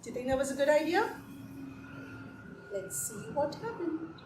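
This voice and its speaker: A high-pitched woman retells a folktale for children. She is animated and repeats phrases for effect, speaking straight to her listeners. The room echoes, with some noise behind her. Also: normal speed. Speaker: storyteller.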